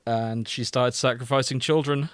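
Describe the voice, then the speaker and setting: A man's voice: medium pitch, fairly even intonation, moderate pace, no room sound, studio quality. A man co-hosting a podcast, chatting casually about a TV series in an informal, sweary, wry way. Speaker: podcast co-host.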